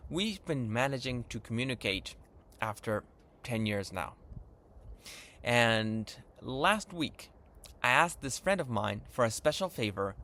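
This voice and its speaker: A man's voice: mid-range voice, a bit bright. A man in his thirties with a Japanese accent, hosting a language lesson in an eager, earnest, upbeat way. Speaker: channel host. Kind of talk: enthusiastic vlog-style lesson intro.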